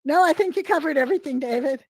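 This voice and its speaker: A middle-aged woman, hoarse from a cold, talking in a rambling way with many fillers as a guest on a video call. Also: high voice, fast talker. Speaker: guest artist. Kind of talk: casual interview chat.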